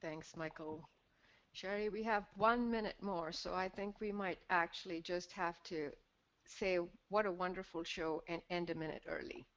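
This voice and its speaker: A woman's voice: on the low side, steady tone, normal speed. A woman moderating a call-in show, speaking briefly to close out a call. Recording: dull lo-fi call audio.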